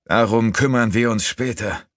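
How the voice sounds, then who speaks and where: A man voicing a distraught gentleman, a commanding and urgent father and master of the house, in an audiobook. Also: very deep voice, very animated, slow pace, slightly rough, no room sound, clean studio sound, exaggerated voice. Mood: curt. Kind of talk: audiobook character voice.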